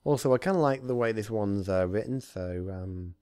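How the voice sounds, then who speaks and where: A man's voice: on the low side, moderate pace, dark tone, clean tone, no room sound, clean studio sound. A man working as an amateur narrator, reading a fan story and chatting informally about it.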